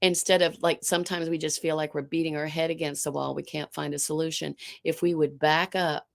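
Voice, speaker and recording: A woman guest and art teacher with a low alto voice, talking in a casual conversation, animated and full of restarts. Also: brisk, gravelly. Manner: animated, storytelling, frequent restarts.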